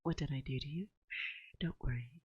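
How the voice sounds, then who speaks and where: A woman playing a dominant, teasing and affectionate forest witch in an ASMR roleplay, speaking in a hushed, breathy voice that often drops to a whisper, close to the microphone. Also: extremely low pitch, no echo, lo-fi sound, heavily acted. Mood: soothing. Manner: slow, soothing, teasing, gently dominant. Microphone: close binaural microphone.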